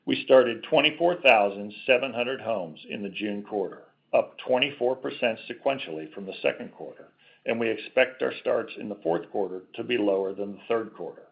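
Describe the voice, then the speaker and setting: A man's voice: fairly low pitch, creaky. A man speaking as a company executive, reading a scripted financial presentation on an earnings call. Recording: dull conference call audio.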